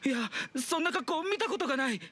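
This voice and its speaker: Panicky, high-voiced young undertaker. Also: lively intonation, rapid-fire, bright, forceful tone. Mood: fearful. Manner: stammering, fearful. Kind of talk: anime video game voice acting.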